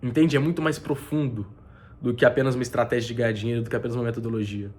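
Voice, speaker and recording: A man with a bad cold talks straight to camera in a casual, earnest way as a mentor, his voice congested and nasal. He circles back over his points, restarting sentences and filling pauses, at an everyday middle pitch. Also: steady tone, darkish tone. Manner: earnest, repeats himself, many fillers and restarts. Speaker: business mentor. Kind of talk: casual faith-based motivational talk to camera. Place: spot with a view over the city.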